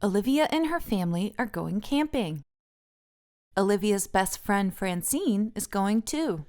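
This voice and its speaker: A woman's voice: mid-range voice, expressive, measured pace, darkish tone. A woman narrating a picture book aloud for children as the host of a read-aloud.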